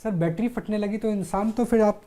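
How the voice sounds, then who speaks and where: A woman's voice: medium pitch, normal speed, warm tone. A woman presenting TV news in a live demonstration style, explaining step by step with emphatic repetition.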